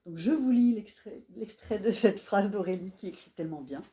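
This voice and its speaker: A woman speaks to an audience at a live bookshop event and introduces a novel with plain enthusiasm and admiration. Her voice has a soft, mellow, darker tone and sits at a medium pitch. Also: expressive, moderate pace. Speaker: bookseller hosting an author talk.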